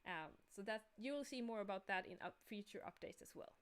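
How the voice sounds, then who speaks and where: A woman's voice: medium pitch, a little fast, fairly bright tone. A woman co-hosting a casual livestream as a game developer, talking in an upbeat, enthusiastic way.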